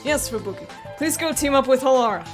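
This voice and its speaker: An excitable, giggly woman playing a video game with friends, chatting and reading lines aloud in a casual way. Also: high-pitched, quick pace. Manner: excitable, giggly, breaks into loud laughing exclamations. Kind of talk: casual group commentary while playing and voicing a detective video game. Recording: game music playing behind the voice. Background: video game music.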